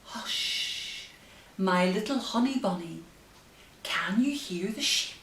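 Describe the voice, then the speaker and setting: Lady doing story time for little kids, slow n gentle, bit far from the mic in an echoey room. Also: mid-range voice. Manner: gentle, hushed asides, drawn-out expressive phrasing. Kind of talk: reading picture books aloud to young children. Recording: roomy, distant mic with faint hiss.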